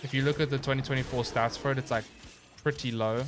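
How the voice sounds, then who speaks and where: A relaxed man talks through a live gaming stream into a desk mic, rambling loosely and answering viewers as he goes. His voice sits at an ordinary middle pitch and carries a slight stuffy, nasal edge. Quiet game music plays underneath. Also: fast. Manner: laid-back, rambling, reads chat aloud and answers. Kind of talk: casual live-stream chat while gaming.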